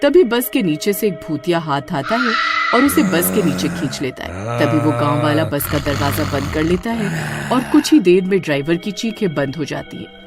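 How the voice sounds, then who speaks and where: A woman's voice: sits low, lively intonation, moderate pace, over loud music. A woman narrating a horror-comedy cartoon story in a dramatic, suspenseful way.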